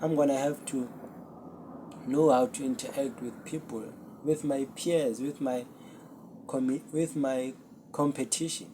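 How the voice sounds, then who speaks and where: Slow, earnest man. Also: medium pitch, mellow, slight background noise. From South Africa. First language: Zulu. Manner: slow, earnest, self-searching, with pauses. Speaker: podcast host. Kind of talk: solo reflective talk to camera.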